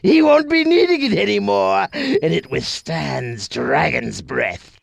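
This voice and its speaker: An actor playing a scheming, theatrical wizard in a video game, with a raspy, creaky voice and exaggerated drawn-out delivery. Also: male voice, sits high, animated, heavily acted. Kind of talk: video game cutscene acting.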